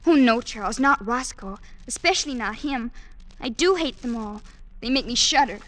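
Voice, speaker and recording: A dreamy young woman in an old radio play, her voice rather high. She speaks wistfully and theatrically, fearful and hopelessly in love. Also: lively intonation, normal speed, fairly bright tone. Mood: pleading. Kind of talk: vintage radio drama acting.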